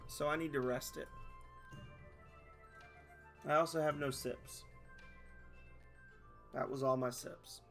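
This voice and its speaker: A man, a streamer closing his stream in rambling, self-deprecating casual chat, his voice hoarse as he loses it. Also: mid-range voice, steady tone, slow pace, pauses often, fairly bright tone, faint music behind.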